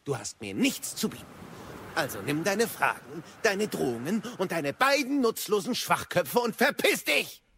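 Casting: ancient wise captive, swooping delivery, creaky edge, cheeky and eloquent, fantasy audio drama. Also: male voice, sits high, sing-song, normal speed, bright, forceful tone, a little hiss or hum, exaggerated voice. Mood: sardonic, defiant. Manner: eloquent, sardonic. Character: cheeky, boastful wise male captive, ancient know-it-all.

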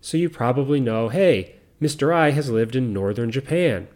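A middle-aged man with a mixed American accent and faint Southern roots, hosting a podcast in slow, clear teaching talk for learners. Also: mid-range voice. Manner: calm, explains step by step, repeats key points. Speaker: podcast host.

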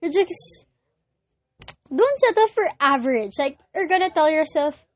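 A young woman with a Filipino accent, whose first language is Filipino, hosting a casual solo podcast and often pausing to search for words. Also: sits high, lively intonation. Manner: hesitant, searching for words with many pauses. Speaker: podcast host.

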